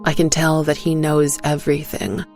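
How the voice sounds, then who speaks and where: A woman narrates a scripted first-person story. Her voice is on the low side with a noticeable creak, and faint music plays beneath her reading. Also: completely flat delivery, moderate pace, darkish tone.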